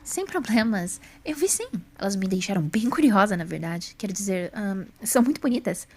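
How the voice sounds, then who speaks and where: A woman playing a cheerful, energetic female time-traveling doctor in an audio drama, speaking with eager, polite curiosity. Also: medium pitch, moderate pace. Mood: curious, polite.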